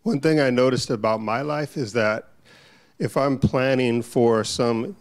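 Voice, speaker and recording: A man in a church group sharing a personal reflection, talking haltingly as he searches for words. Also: mid-range voice. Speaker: congregant.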